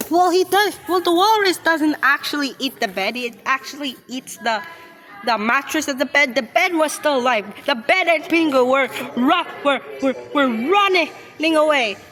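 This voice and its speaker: High-pitched woman, blunt and halting. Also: lively intonation, fairly bright tone, soft background music. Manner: blunt, halting and repetitive, argumentative. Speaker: reaction video host. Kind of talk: casual off-the-cuff reaction commentary.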